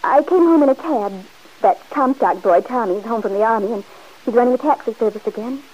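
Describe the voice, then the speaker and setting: A woman's voice: on the high side, fairly quick, a little mellow, natural voice. Woman playing a headstrong young bride-to-be. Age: young adult. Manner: insistent, defensive. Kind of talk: 1940s radio acting. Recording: dull old radio recording.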